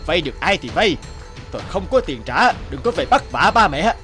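A man's voice: fairly high pitch, big pitch swings, moderate pace, bright, forceful tone, long reverb tail, soft background music. A man voicing a stern, exasperated middle-aged father in a cartoon, talking in a firm, scolding way. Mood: angry, scolding.

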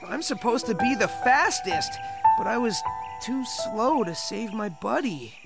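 A man's voice: very high voice, animated, unhurried, vocal fry, loud music behind the voice, heavily acted. An actor playing a cocky, heroic young male hedgehog in a video game, brash, earnest and punchy. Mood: determined. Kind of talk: animated video game cutscene acting.

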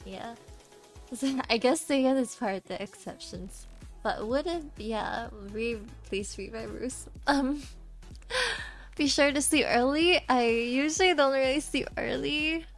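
A tired young woman streamer with a slightly scratchy voice, chatting casually while she draws, soft and halting, stammering and giggling often. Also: high-pitched, soft background music. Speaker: VTuber streamer. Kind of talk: casual livestream chat while drawing.

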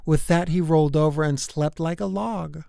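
A woman's voice: deep voice, normal speed, gravelly, dark tone, dry recording, studio quality. A woman narrating a rhyming children's storybook expressively, in a way that is easy to follow. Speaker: children's book reader.

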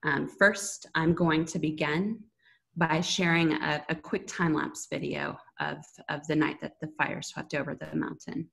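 A woman's voice: low voice, little pitch movement, unhurried, fairly bright tone, low-quality recording. A woman in her thirties moderating an online public briefing, speaking from an indoor room on a video call. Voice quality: husky, creaky.

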